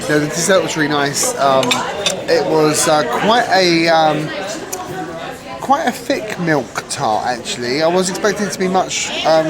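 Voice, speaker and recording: A woman vlogging a casual food review, chatty and frank with a light joking touch. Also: deep voice. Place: busy restaurant. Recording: loud crowd chatter behind. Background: restaurant crowd chatter.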